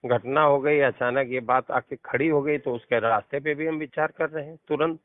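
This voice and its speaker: A man's voice: medium pitch, steady tone, moderate pace, dry recording. A man in a private recorded phone conversation, talking in a defensive, placating manner. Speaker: caller, politician. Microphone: phone line. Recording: tinny, band-limited recorded phone call.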